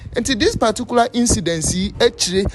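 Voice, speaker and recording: A man's voice: high voice, lively intonation, a little fast. A man with a Ghanaian accent presenting informal news commentary, switching between a local language and English. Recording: faint background noise.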